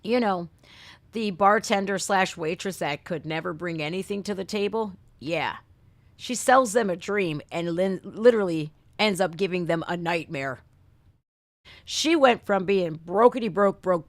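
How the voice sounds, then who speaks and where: A woman's voice: medium pitch, a bit bright, no echo. An American woman hosting a livestream reaction, chatting and ranting casually in blunt, sweary, folksy slang. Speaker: reaction video host. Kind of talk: casual livestream rant and chat.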